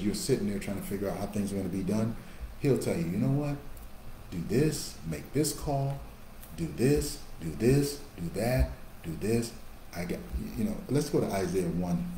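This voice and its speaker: A man in his fifties preaching a sermon into a webcam in a small office, building to emphatic bursts and dropping to quiet asides. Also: lowish voice. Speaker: pastor. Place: small office with a large painting behind. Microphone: webcam on a video call. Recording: echoey room with faint background hum.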